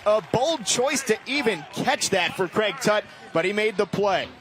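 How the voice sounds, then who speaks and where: A man calling live sports play-by-play in clipped, vivid phrases. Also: very high voice. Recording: noisy live game broadcast.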